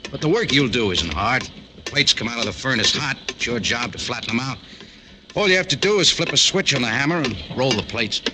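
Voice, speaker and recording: Very bright, animated American foreman. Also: mid-range voice, a little fast. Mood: explaining. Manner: casual, reassuring. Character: amiable, easygoing factory foreman. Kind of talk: 1940s radio drama acting. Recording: faintly noisy old radio recording.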